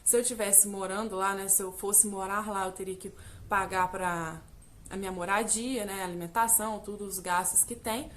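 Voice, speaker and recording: A woman's voice: mid-range voice, fairly even intonation, moderate pace, fairly bright tone, slight background noise. A young woman from Brazil, an English teacher, telling her story in a casual vlog with lots of fillers, speaking straight to her viewers. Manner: chatty, direct address with many fillers.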